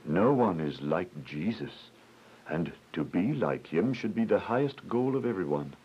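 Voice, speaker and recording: An actor playing a gentle, devout, fatherly visitor who speaks tenderly and reassuringly in a religious radio drama. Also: deep voice, very animated, measured pace, slightly rough, heavily acted. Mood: tender, earnest. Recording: dull, noisy lo-fi radio drama.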